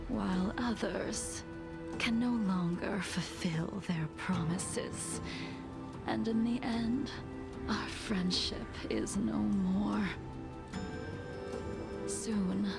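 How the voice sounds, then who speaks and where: Solemn, creaky-voiced fallen swordswoman, music behind. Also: mid-range voice. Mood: mournful, resigned. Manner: slow, measured, grave and formal delivery. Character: solemn, sorrowful swordswoman, a centuries-old condemned sinner. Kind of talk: dramatic video game voice acting.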